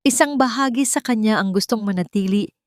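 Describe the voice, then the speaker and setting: A woman narrating a scripted romantic drama in an earnest, sentimental way with dramatic pauses. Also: fairly high pitch, no echo, clean studio sound. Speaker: narrator of romantic audio stories.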